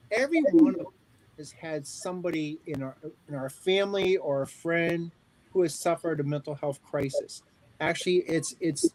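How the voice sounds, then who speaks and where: A man's voice: on the high side, darkish tone, a little hiss or hum, poor audio. A man in his early forties speaking earnestly on a panel, often restarting his sentences. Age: 40s. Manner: earnest, halting with frequent restarts. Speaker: panel speaker on community health planning. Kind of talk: panel discussion.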